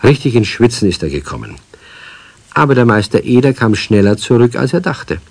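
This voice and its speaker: A man narrating a children's radio play as a wry storyteller, measured. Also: mid-range voice, very animated, gravelly, no room sound.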